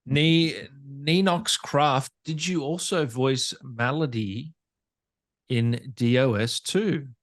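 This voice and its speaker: A man's voice: medium pitch, slow, dry recording. A man hosting an interview, asking questions in a casual, conversational style. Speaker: interviewer, podcast host.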